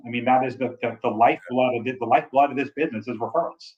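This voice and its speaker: Energetic recruiter man with a big voice. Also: mid-range voice, rapid-fire, highs cut off. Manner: energetic, enthusiastic storyteller. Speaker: travel nurse recruiter. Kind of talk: casual interview talk.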